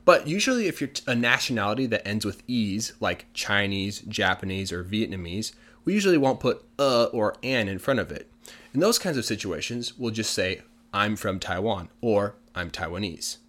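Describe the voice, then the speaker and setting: A man's voice: fairly low pitch, expressive, normal speed, a bit bright. A man who is a native English speaker, patiently explaining language points in a casual lesson with lots of examples. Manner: patient, plain-spoken explaining with many examples. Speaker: English teacher.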